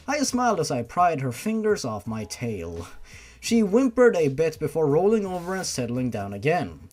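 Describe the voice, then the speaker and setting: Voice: medium pitch, a little mellow. A narrator reading a fan fiction story aloud, breaking off into sarcastic, sweary asides.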